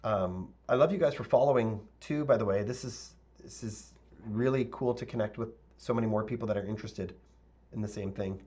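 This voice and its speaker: A male architect teaching a live software tutorial, talking casually with viewers and thinking aloud with fillers; his voice is a little raspy. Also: fairly low pitch, brisk. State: slightly raspy voice, clearing throat.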